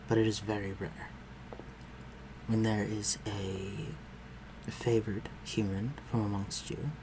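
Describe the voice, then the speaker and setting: A man playing a refined, protective vampire lord in an intimate audio roleplay, speaking formally and with measured command. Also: fairly low pitch, noisy background. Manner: formal, measured, archaic phrasing, dropping to breathy murmurs.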